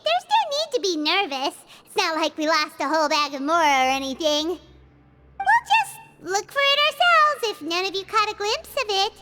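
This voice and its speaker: A woman voicing a small, cheeky female fairy companion in a video game, with a squeaky childlike voice and a perky manner. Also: sing-song, normal speed, very noisy. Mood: coaxing. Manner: perky, speaks of herself in third person. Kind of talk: animated game voice acting.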